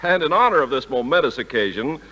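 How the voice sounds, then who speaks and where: A man's voice: medium pitch, expressive, quick pace, pushed and bright, dull audio, a little hiss or hum. A man announcing a 1950s radio variety show with a hearty, emphatic delivery, also trading comic lines with the host.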